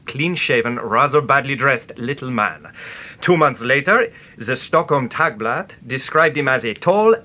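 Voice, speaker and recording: An actor playing a precise, formal French investigator in a radio detective drama, speaking insistently. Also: male voice, mid-range voice, pushed and bright. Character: intense, precise French male investigator from the continent.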